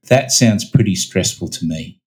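A middle-aged man hosts a medical interview podcast. His voice is on the low side. He reads a measured intro and then asks questions in a friendly way. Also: expressive, a little mellow. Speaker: podcast host, surgeon.

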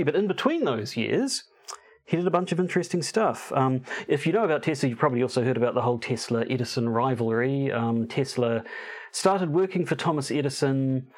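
A man co-hosting a relaxed podcast conversation. He speaks wryly and haltingly, restarting his sentences often as he finds his way into a topic. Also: mid-range voice. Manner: hesitant, many fillers and restarts, wry. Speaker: podcast co-host. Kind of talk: casual chat.

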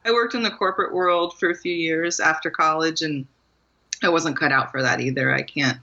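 A woman's voice: deep voice, moderate pace, sharp tone, lo-fi sound. A woman from Maryland, a guest author, talking casually in an interview, rambling a little and laughing often. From Maryland, USA.

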